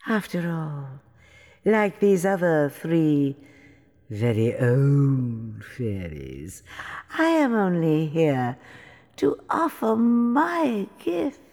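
Evil old fairy for an audio play, deep voice, gloating and theatrical, big echoey hall. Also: female voice, big pitch swings, very slow, a little mellow, heavily acted. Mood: mock-sweet. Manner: theatrical, drawling, mock-sweet taunting. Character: wicked old fairy, gloating, mocking, vengeful. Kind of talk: fairytale audio drama acting. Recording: long hall reverb.

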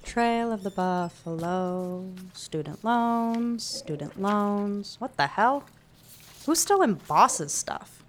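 A grumpy, deadpan young academic, noisy background. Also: female voice, medium pitch, slow pace. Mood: groggy, muttering. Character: grumpy, coffee-starved young nonbinary academic, blunt and dry. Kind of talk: naturalistic audio drama acting.

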